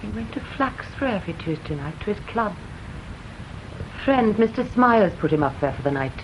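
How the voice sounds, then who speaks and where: An actress playing a terse, unsentimental widow in a radio drama, answering curtly and matter-of-factly. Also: female voice, medium pitch, expressive, normal speed, natural voice. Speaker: witness. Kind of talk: BBC radio drama acting. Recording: dull, slightly noisy radio recording.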